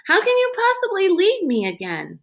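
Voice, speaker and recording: A woman voicing a fierce, pleading young girl in a storybook, forceful and insistent. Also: extremely high pitch, huge pitch swings, normal speed, voice pushed up, heavily acted.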